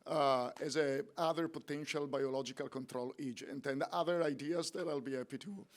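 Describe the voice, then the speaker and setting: A man's voice: on the high side, steady tone, normal speed. A man at a conference discussion, thoughtful, with a few fillers.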